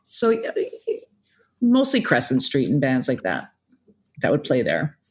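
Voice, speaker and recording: A middle-aged woman from Quebec with a low voice, chatting casually as a musician guest, often stopping and restarting. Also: huge pitch swings, measured pace. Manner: hesitant, many restarts and fillers. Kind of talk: casual interview chat.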